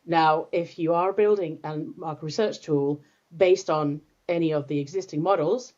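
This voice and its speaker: A woman's voice: deep voice, normal speed, a little mellow. A woman from Finland, a native Finnish speaker, talking thoughtfully as a guest expert in a casual interview, with many restarts and fillers. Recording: dull lo-fi sound.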